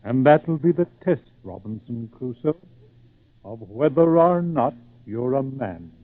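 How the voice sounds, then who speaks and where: An actor playing a grave, worried father with a measured, solemn delivery in an old-time radio drama. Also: medium pitch, animated, slight background noise, close to an everyday voice. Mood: solemn warning. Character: grave, worried father warning his headstrong son. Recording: tinny old radio recording.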